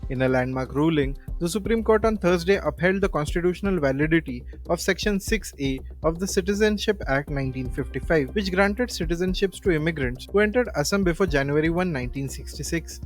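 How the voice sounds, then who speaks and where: A male news presenter with an Indian accent reads a scripted radio bulletin. His voice sits slightly high for a man, and faint music plays behind him.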